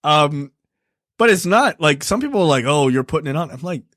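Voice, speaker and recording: A man's voice: fairly high pitch, animated, a little fast, fairly bright tone, dry recording, clean studio sound. Chatty, emphatic man on a podcast. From Baltimore. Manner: chatty, laughs easily, emphatic asides. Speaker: podcast co-host. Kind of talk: casual chat.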